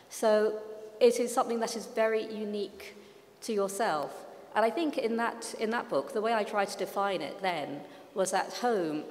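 A woman novelist answers a panel question in a measured, reflective way, pausing with fillers and rephrasing as she goes. Her voice sits a little low. The sound rings with the long reverb of a large hall. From Pakistan, raised in London. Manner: reflective, many fillers and restarts. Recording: echoey hall.

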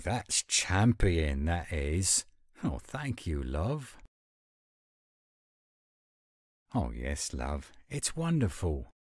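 A man acts out an affectionate werewolf boyfriend in a romantic audio roleplay. The voice is extremely low and slow, yet it swings expressively, and it sounds close and dry. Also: no room sound, full character voice. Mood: delighted. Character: affectionate, gruff-but-sweet male werewolf boyfriend. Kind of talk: romantic audio roleplay, one-sided intimate dialogue.

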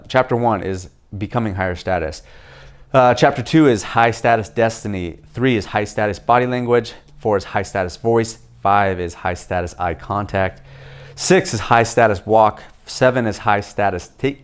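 A young man speaks in a low, deep register, talking straight to the camera in a home kitchen. His delivery is casual and explanatory, with easy pauses and frequent "okay?" check-ins. He sounds like a vlogger reviewing a book for his channel. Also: clean tone. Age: young adult. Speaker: video host reviewing a book. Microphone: camera facing him at chest-up framing.

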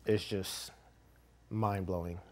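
A middle-aged man with a somewhat low voice, answering as a guest in a small TV studio. He speaks in an earnest, heartfelt way. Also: steady tone, slow pace. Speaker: interview guest. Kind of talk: casual interview chat. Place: small TV studio with curtain backdrop.

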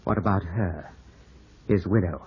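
Young english gentleman, grieving and suspicious, low husky voice, muffled old radio play. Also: measured pace, exaggerated voice. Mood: suspicious, tense. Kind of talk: 1950s radio drama acting.

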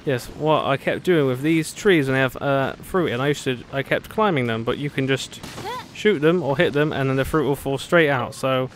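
A man's voice: mid-range voice, normal speed, hardly any pauses, slight background noise. A man giving casual, rambling let's play commentary, thinking aloud. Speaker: gaming video host.

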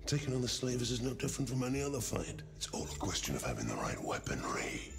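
A man's voice: mid-range voice, huge pitch swings, fast, vocal fry, music in the background. An actor voicing a brash, eager and loyal fat scavenger man in a video game, with a drawling, swaggering delivery. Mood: confident. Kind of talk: video game cutscene acting.

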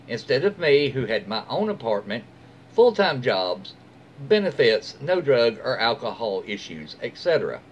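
A middle-aged man reads stories aloud at home in an informal, conversational way. His pitch is mid-range and moves around a lot. Clear room noise sits behind his voice. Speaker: story-reading host. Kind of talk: reading forum stories aloud with casual asides. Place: small room at home, seated in an armchair.

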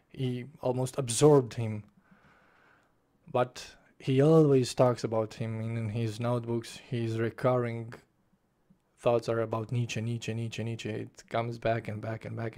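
Young man, unhurried, dark warm tone, home bedroom desk-mic book vlog. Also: mid-range voice. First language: Romanian. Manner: passionate, gestures with both hands, restarts phrases. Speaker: book reviewer. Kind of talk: casual spoken book review. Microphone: desk microphone in front of face, webcam framing.

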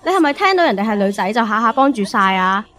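A woman's voice: a little high, very animated, quick pace, fairly bright tone. A woman playing a short-tempered young girlfriend in a radio drama, whiny and scolding. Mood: indignant.

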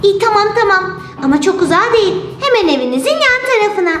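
A woman voicing a kindly, indulgent magical girl doll, gentle and patient, in children's toy storytelling. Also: very high voice, sing-song, fast talker. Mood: hesitant, gentle. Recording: roomy sound with music behind.

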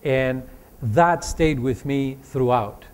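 A man, giving a motivational talk to students with animated storytelling and frequent fillers and restarts. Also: medium pitch, slow pace, clear, resonant tone. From Greece. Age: 40s. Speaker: conference speaker.

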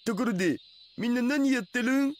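A man's voice: very high-pitched, fairly quick. An actor playing a laid-back, cheeky, nerdy young male otaku in an anime radio drama, with a goofy slangy drawl. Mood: casual, cheeky.

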